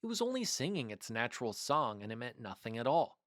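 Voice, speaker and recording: A man reads a children's story aloud in a mid-pitched voice. The delivery is expressive and deliberate, with scripted storybook phrasing. The sound is dry and close. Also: no room sound.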